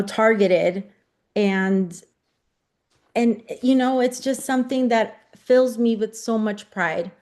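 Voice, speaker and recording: A woman from the Bay Area whose first language was Spanish, speaking eagerly as a guest with many "you know"s. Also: mid-range voice. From San Francisco Bay Area. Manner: eager, warm-spoken, frequent "you know". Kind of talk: casual talk on a radio show.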